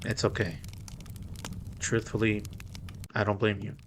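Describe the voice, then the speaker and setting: A man's voice: fairly low pitch, flat delivery, measured pace, background noise, natural voice. A man playing a protective, easygoing mafia boss with a wry streak in an audio roleplay. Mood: soothing.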